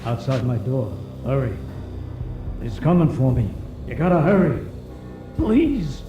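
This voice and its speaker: Frightened game mobster, roomy echo, dark tone, frantic pleading. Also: male voice, mid-range voice, sing-song, a little slow, soft background music. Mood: frantic fear. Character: terrified, begging male mob boss. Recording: muffled, echoey, distant-miked game audio.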